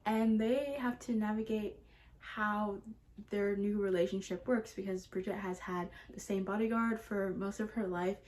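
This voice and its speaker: A woman with a mid-pitched voice talks casually as a book vlogger. She is gushing and emphatic in how she speaks. She often repeats words to press her point. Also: steady tone, moderate pace, darkish tone. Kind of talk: casual chatty video talk about books.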